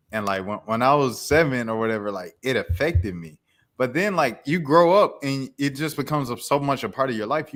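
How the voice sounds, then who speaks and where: A man's voice: lowish voice, a bit bright. A young man co-hosting a podcast, talking in a loose, rambling, slangy way with many restarts. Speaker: podcast co-host. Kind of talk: casual chat.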